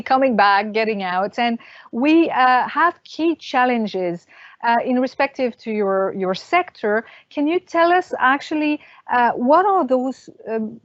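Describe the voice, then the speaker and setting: A woman hosting an interview, encouraging and effusive toward her guests, with slightly halting questions. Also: fairly high pitch, dry recording. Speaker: interviewer, host. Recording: dry, dull lo-fi audio.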